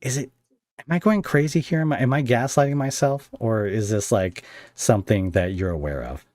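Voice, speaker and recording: A man hosting a casual interview, asking wandering questions in a halting way and often interrupting himself. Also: mid-range voice, a little mellow, no room sound. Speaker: podcast host interviewing a script writer.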